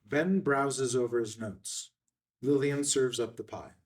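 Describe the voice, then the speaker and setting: A man's voice: medium pitch, unhurried. A man reading a film script's stage directions as narrator, in a matter-of-fact way.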